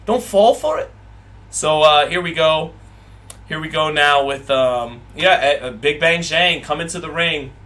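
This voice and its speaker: A man's voice: a little high, steady tone, measured pace, bright, forceful tone. A man hosting a live boxing watch party, reading viewer comments aloud and rambling, with frequent repeats and restarts.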